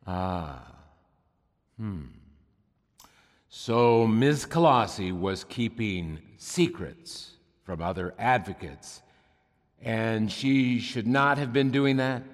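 An actor playing a pressing, condescending senator who questions a witness in formal, pointed phrases in an audio drama. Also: male voice, fairly low pitch, very slow. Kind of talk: audio drama courtroom acting. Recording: long hall reverb.

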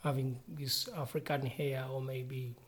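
A woman's voice: a bass voice, little pitch movement, a little slow. A woman from Uganda hosting a casual interview, speaking hesitantly and searching for her words. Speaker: host.

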